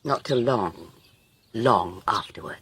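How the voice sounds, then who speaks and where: An actor playing a sly, teasing friend in a radio drama, with a husky voice. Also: male voice, fairly low pitch, animated, slow pace, fairly bright tone, exaggerated voice. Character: sly, teasing house-owning friend with a ghost tale.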